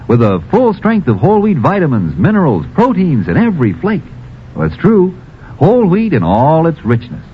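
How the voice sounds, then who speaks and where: An American male announcer sells in a folksy, emphatic 1950s radio style. His pitch is a little high and swings dramatically. The recording sounds muffled, with faint noise.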